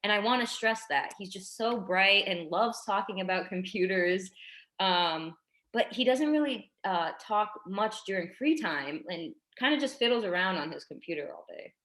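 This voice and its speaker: A woman playing a tactful, apologetic primary schoolteacher in a staged drama reading, hesitant and gently persistent. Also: mid-range voice, lively intonation, normal speed, close to an everyday voice. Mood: careful, concerned. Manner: hesitant, careful, conciliatory.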